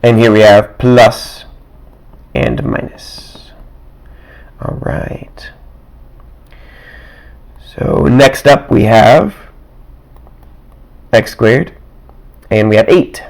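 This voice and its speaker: A man teaching math in a casual, worked-example way, with a raspy, dry-throated voice that keeps clearing, thinking aloud with small chuckles and asides from his desk. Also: mid-range voice, very animated, very slow, long pauses, darkish tone. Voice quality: raspy, getting rougher.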